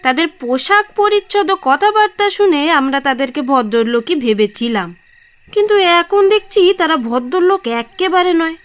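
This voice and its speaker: Shrill, indignant gossiping village woman. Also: animated, moderate pace, fairly bright tone. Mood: indignant, scornful. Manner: complaining, scornful. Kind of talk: radio audio drama acting.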